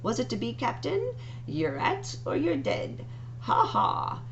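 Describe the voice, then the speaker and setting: A woman's voice: on the high side, wildly swooping pitch, takes it slowly, lo-fi sound, slight background noise, voice pushed up, exaggerated voice. A woman voicing a snarling, mutinous male pirate wolf for a storybook, full of hars and args. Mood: menacing.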